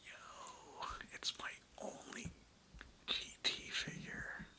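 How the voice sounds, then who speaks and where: A man whispering a casual, rambling, slangy ASMR monologue, close to the microphone. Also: drawn-out words. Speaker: ASMR video creator. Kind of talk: whispered ASMR ramble about old toys.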